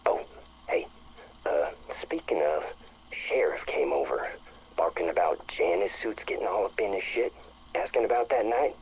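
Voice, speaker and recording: A man's voice: deep voice, animated, normal speed, pauses often, slight background noise, rough recording. A man playing a chatty, brash small-town guy leaving a casual, slangy, enthusiastic message in a video game. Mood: upbeat. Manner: breezy, slangy, joshing.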